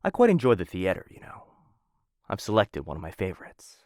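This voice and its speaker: A man performing an ASMR roleplay as a suave, smitten assassin of a noble house, speaking in formal, courtly phrases with hesitant softer asides into a close mic. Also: sits low, huge pitch swings, fairly quick, dry recording. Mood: suave, courting. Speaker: voice actor in a boyfriend roleplay audio. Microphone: close studio-style mic.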